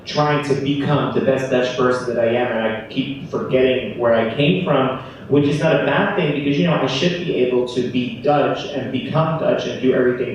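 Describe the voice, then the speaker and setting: Brief: young male host of a live panel, casual, heard from far off in a very echoey hall. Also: mid-range voice. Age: young adult. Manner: informal, apologetic asides. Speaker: host of a student panel event. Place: large hall at a live event. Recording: echoey room from far off, faint noise.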